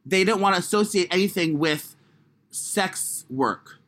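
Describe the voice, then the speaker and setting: A man with a high voice co-hosting a casual comedy podcast, crude and self-deprecating in his humour. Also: measured pace, fairly bright tone. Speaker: podcast co-host. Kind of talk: casual comic chat.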